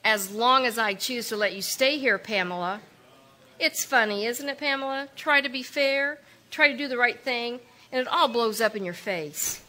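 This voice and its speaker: An actress playing a proud, indignant sister in a live old-time radio drama, earnest and quick to protest. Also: female voice, a little high, bright, forceful tone. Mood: resolute. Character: proud, indignant sister Sally, easily shaken.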